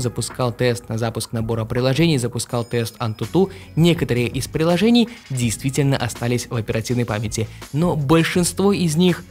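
A man's voice: mid-range voice, darkish tone. A young man with a slightly sick voice, giving a phone review as a voice-over and playfully calling his viewers grandkids. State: sick, strange voice. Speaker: tech reviewer.

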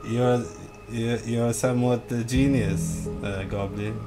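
A man's voice: mid-range voice, fairly even intonation, slow pace, loud music behind the voice. A man chatting in improvised game roleplay, polite and hesitant, often repeating himself. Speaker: gamer, video creator.

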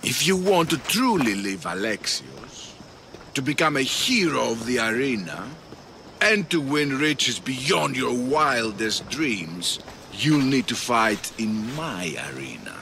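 A persuasive older arena patron in a game cutscene, measured and dramatic. His voice is bright and lively in pitch, his pace unhurried. Faint outdoor ambience sits behind him. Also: slight background noise, exaggerated voice. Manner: measured, coaxing, dramatic pauses. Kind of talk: video game cutscene acting.

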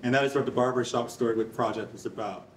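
A man's voice: medium pitch, fairly even intonation, quick pace. A young adult man giving a loose live presentation in a casual, off-the-cuff manner. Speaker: presenter and artist. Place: large concrete rehearsal hall. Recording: echoey hall, distant, faint background noise.

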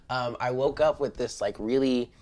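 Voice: medium pitch, unhurried, darkish tone. A tarot reader with a stuffy, congested nose, chatting informally and directly to viewers during a casual spiritual card reading. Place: indoor room at a table.

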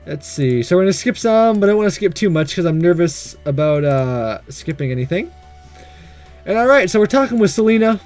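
Goofy guy doing a lets play, highish voice, super up and down, jokey n theatrical, bit of music. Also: animated, fairly quick, darkish tone. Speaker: gaming video host reading and reacting. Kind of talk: casual let's-play commentary.

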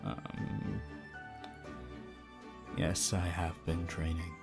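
A man voicing a shy, guarded teenage anime hero student in ASMR roleplay, soft and hesitant. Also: low-pitched, little pitch movement, very slow, vocal fry, music in the background, full character voice. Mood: awkward, bashful.

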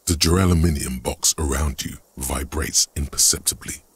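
A man voicing a detective's grave inner thoughts as measured, literary narration in a video game. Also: extremely low pitch, husky, heavily acted. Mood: brooding. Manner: measured, brooding, literary delivery. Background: prominent music.

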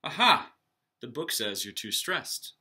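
A man reads as a brave young knight from a children's storybook, cheerful and reassuring. The voice sounds bright and sharp. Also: mid-range voice, very animated, moderate pace, exaggerated voice. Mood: eager.

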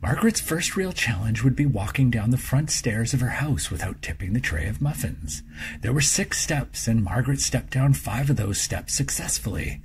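A man reads a children's story in an expressive, deliberate storytelling manner, with a husky voice. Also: mid-range voice, a little hiss or hum. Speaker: author reading own children's story. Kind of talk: scripted storybook narration for young children.

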